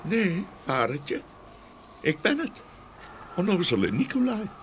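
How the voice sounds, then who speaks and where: Man playing a lively, simple young peasant lad. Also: sits high, takes it slowly, full character voice. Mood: meek. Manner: guileless, agreeable. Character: simple, obedient, good-natured youngest son, young peasant. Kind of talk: audio fairy-tale acting. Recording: faint ambient noise behind voice.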